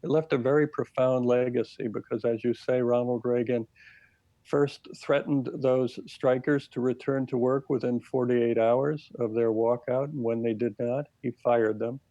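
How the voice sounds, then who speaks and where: A man speaking as a professor and interview guest, explaining history in a measured, academic way. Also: mid-range voice, fairly even intonation, dark tone, no echo, highs cut off.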